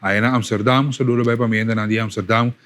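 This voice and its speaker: A man hosting a live morning radio show, chatting casually with many fillers and repeats. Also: fairly low pitch. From Curaçao. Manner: lively, repetitive, halting with fillers.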